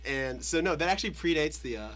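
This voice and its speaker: A man's voice: on the high side, lively intonation, quick pace, fairly bright tone. A young man hosting a casual radio show, bantering in a jokey, rambling way. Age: young adult. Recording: faint mains hum.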